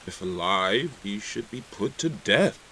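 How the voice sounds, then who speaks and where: An actor playing an imperious, excitable ruler in an audio drama, gruff, dismissive and commanding. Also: male voice, fairly low pitch, unhurried, a little hiss or hum, full character voice, rough recording. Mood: stern, troubled. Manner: blustering, commanding. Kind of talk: theatrical audio drama acting.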